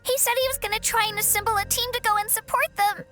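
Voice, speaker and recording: A woman voicing a small, excitable, loyal childlike fairy companion in a video game, with a squeaky, earnest delivery. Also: fast talker. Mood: earnest, worried. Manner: refers to self in third person, earnest. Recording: loud game music behind.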